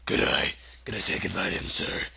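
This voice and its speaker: A man voicing a huge, tender-hearted giant in a storybook reading, with a gruff, deferential mumble. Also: a little fast, bright, forceful tone, no room sound, studio quality. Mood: pleading.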